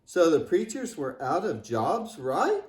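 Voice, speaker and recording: A man's voice: fairly high pitch, big pitch swings, unhurried, darkish tone. A man playing a cheeky, wisecracking, imaginative boy puppet in a scripted church puppet show, joking and exclaiming playfully. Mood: curious, joking. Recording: echoey room.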